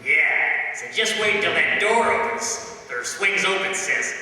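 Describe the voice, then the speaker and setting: Ruthless, commanding gangster, man acting, forceful bright delivery, old-time radio drama, far off in a ringing hall. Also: sits high, expressive, measured pace, exaggerated voice. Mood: bossy. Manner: curt, slangy, commanding. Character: bossy, ruthless male gangster with Tommy gun. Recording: very echoey hall, far from mic.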